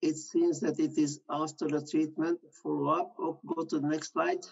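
A man giving an unrehearsed medical slide presentation, improvising his commentary in a halting way. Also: a little high, little pitch movement, vocal fry, darkish tone, husky. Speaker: conference presenter. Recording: dull, muffled lo-fi audio.

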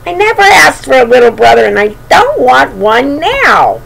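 An older woman voicing a grumpy young male bear cub in a storybook, with emphatic, drawn-out words. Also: high-pitched, wildly swooping pitch, vocal fry, a bit bright, voice pushed up, heavily acted. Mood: indignant. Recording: noisy home webcam recording.

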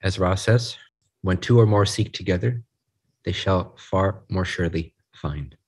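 Male meditation guide, lower register with a slightly breathy rasp, soothing and unhurried, leaving space between short phrases. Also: a little mellow.

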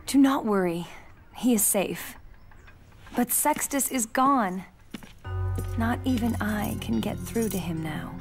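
Casting: earnest, resolute young Roman woman, game drama, deliberate pace over music. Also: fairly high pitch. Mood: anxious, resolute.

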